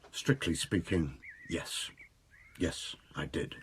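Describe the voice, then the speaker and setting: An actor playing a remorseful wealthy adulterer confessing to police in a radio drama, anguished, halting and full of self-reproach. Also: male voice, a bass voice, a little hiss or hum, heavily acted. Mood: ashamed, bitter.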